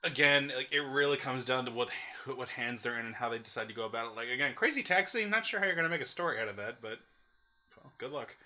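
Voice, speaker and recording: A young man co-hosting a casual gaming podcast, talking excitably and repeating himself for emphasis. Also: mid-range voice, fast. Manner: excitable, breaks into shouted mock quotes and repeats himself. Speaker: podcast co-host.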